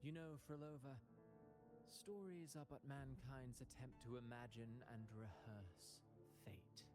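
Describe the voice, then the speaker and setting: An actor playing a smug, scheming villain playwright in a video game, drawling theatrically and poetically as if savoring tragedy. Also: male voice, medium pitch, measured pace, lightly acted. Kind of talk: scripted video game voice acting. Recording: music playing behind the voice.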